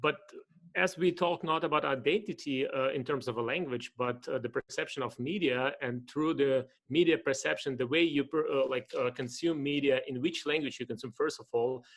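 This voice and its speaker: A man's voice: mid-range voice, fairly quick. Guy in his 40s on a home video call, rambles, lots of likes and uhs. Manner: rambling, many fillers and restarts. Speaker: panelist. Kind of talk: academic panel discussion. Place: home room with bookshelf, indoors. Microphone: laptop webcam video call.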